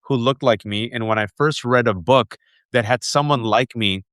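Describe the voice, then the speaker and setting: A man in his forties hosts a conversational interview. He tells lively personal stories, often stopping for asides. His voice sits mid-range, and it is recorded dry with no room echo. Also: medium pitch, normal speed. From United States. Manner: animated storytelling with asides. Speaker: host.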